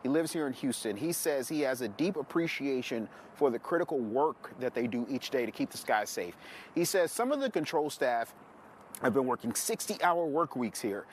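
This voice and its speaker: Male TV field reporter, American accent, mid-range voice, faint urban ambience behind. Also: mid-range voice. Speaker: TV news reporter. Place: outdoors near an airport. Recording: outdoor traffic and ambient noise.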